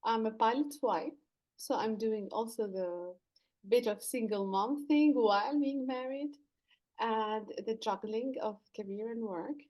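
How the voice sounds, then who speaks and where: A woman's voice: a little high, measured pace, a little mellow, poor audio. A woman from Lebanon, a leadership coach, earnestly explaining her work as a podcast guest. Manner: earnest, explanatory, some fillers and restarts. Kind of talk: podcast interview answers.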